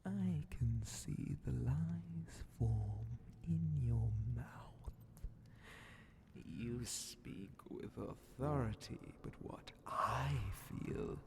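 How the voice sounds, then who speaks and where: Sly demon grand vizier, super slow n dark, whispery and menacing, mockingly polite asmr horror roleplay. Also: male voice, mid-range voice, gravelly.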